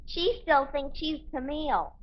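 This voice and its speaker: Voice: high-pitched, a little slow. A performer playing a whiny, inquisitive little American girl, the youngest daughter in a 1950s radio sitcom. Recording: very noisy, dull old radio recording.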